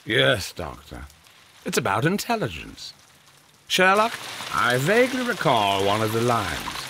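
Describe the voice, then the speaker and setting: An actor playing an imperious, calculating Victorian government gentleman in a video game, pompous and deliberate, with a British accent. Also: medium pitch, huge pitch swings, vocal fry, noisy background, heavily acted. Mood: probing, measured. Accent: British English. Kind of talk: video game cutscene acting.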